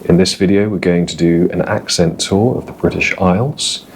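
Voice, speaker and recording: Low-voiced man in received pronunciation. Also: steady tone, normal speed, slight background noise. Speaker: host. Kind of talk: accent tour vlog.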